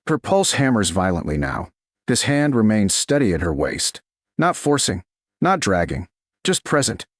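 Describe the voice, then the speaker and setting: A man's voice: on the low side, big pitch swings, a little slow, dry recording. A man reading a romance story as a dramatic audiobook narrator, in short, weighted, suspenseful phrases.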